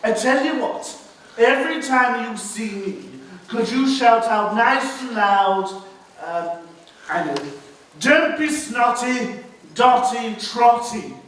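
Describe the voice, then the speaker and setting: A boisterous British pantomime dame, acted by a man, sounds distant in a very echoey stage space. The village woman is middle-aged, motherly and cheeky, with a high voice. She chats in an exaggerated theatrical way, coaxing the audience along. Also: lively intonation, takes it slowly, a bit bright, full character voice. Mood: coaxing, rousing. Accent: British English. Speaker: pantomime performer. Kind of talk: live pantomime monologue with audience address. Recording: roomy echoing stage, distant mic, faint noise.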